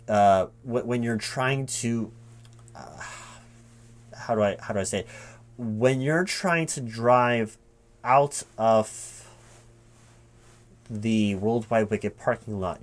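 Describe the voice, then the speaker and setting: A man playing a flustered, evasive recruiting manager in a one-sided ASMR job interview roleplay, halting, repeating and correcting himself as he rambles. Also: lowish voice. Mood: flustered, reassuring.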